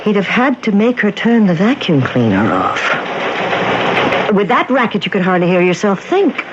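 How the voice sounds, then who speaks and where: A woman's voice: mid-range voice, wildly swooping pitch, moderate pace. An actress playing a determined, probing police detective in a radio mystery drama, firm and insistent in her questions. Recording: dull, noisy vintage radio broadcast.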